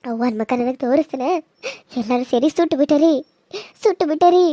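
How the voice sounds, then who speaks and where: A woman's voice: sits high, fairly quick, mellow, no room sound, voice pushed down, lightly acted. A woman playing a sobbing young woman. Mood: grieving. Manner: wailing, accusing, vowing revenge. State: crying. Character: grieving young woman wailing for her dead mother.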